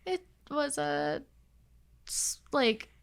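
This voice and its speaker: A woman's voice: sits high, sing-song, very slow, creaky, clean tone. A woman guest on a podcast, chatting informally and giving her opinions. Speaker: podcast guest, game designer. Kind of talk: casual podcast chat.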